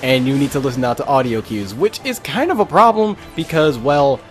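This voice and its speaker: A man giving casual, halting live game commentary, breaking off to exclaim at the game. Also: mid-range voice, lively intonation, soft background music. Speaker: gaming video creator.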